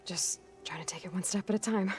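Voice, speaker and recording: An actress playing a determined, weary young warrior heroine in a video game, speaking in a low-key, matter-of-fact way. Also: female voice, steady tone, fairly quick, darkish tone, faint music behind, natural voice. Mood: weary, friendly. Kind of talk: video game cutscene acting.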